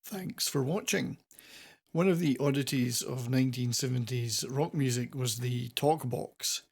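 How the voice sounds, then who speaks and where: A man's voice: mid-range voice, measured pace, creaky, a little mellow, husky. A man hosting a semi-scripted countdown commentary on classic rock, with wordy, playfully florid presenter phrasing. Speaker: host of a classic rock video channel.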